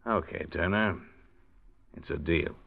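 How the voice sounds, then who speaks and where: An actor playing a cool, knowing male crook who bargains calmly, in a muffled old radio drama. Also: low-pitched, unhurried, creaky. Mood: menacing. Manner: cool, knowing, mildly menacing. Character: smooth, low-voiced male crook. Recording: muffled 1940s radio recording.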